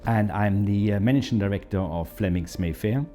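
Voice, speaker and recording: A man's voice: lowish voice, moderate pace, dark tone, faint music behind. A man with a German accent, a hotel managing director, telling his story in an interview with hesitant fillers. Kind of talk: documentary interview.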